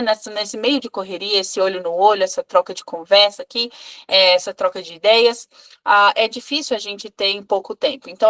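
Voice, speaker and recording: A woman's voice: medium pitch, fairly bright tone. Chatty Brazilian woman teacher on a call. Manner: chatty, many fillers and restarts. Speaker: English teacher and club co-host. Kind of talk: casual live video-call talk. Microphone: video call.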